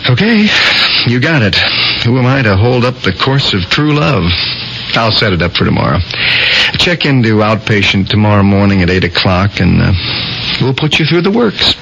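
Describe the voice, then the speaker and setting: Wry, caring American surgeon, lower register, radio drama, dull hissy broadcast sound. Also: male voice, big pitch swings, normal speed, vocal fry, fairly bright tone, exaggerated voice. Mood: easygoing, reassuring. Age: middle-aged. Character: caring, wry male surgeon and protective older brother. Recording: muffled, noisy old radio broadcast.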